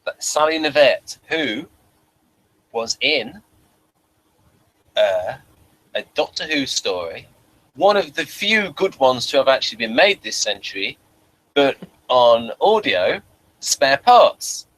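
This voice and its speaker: A man with a bright, forward voice at a middling pitch hosts a casual review chat. He is opinionated and often restarts his phrases. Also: sing-song, takes it slowly, pauses often, low-quality recording. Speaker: podcast host and reviewer.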